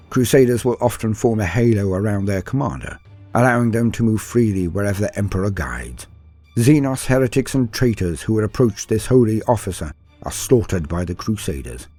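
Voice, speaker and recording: A man's voice: lowish voice, a little mellow, breathy edge. A middle-aged man narrating scripted lore in a grandiose, theatrical storyteller's manner. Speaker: lore channel host.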